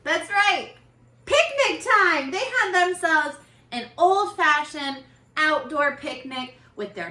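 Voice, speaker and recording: A woman reading a picture book aloud like a teacher, expressive and encouraging, putting questions to her young listeners. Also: high voice. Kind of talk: animated children's read-aloud with questions to listeners. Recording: echoey, roomy sound.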